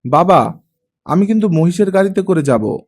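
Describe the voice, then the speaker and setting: Man voicing an eager young girl, higher-pitched. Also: expressive, moderate pace. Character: eager, chirpy young daughter in a skirt.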